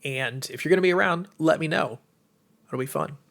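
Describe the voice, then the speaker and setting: An American man with a tired, slightly hoarse voice chats casually and enthusiastically as the host of a livestream, rambling informally to viewers. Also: a little high, fairly quick, fairly bright tone. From United States. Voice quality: tired, strained voice. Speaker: livestream host, game publisher.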